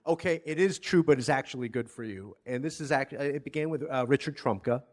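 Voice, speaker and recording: A man co-hosting a podcast in a rambling, digressive way. He keeps up easy, agreeable banter. His voice sits at an ordinary middle pitch. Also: fast. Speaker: podcast co-host. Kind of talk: casual podcast chat.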